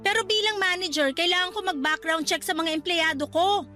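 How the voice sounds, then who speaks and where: An earnest Filipina resort manager in a radio drama, speaking in a very high, bright voice. She is wronged but conciliatory, reproachful and pleading, over a faint music bed. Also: brisk. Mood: firm, defensive.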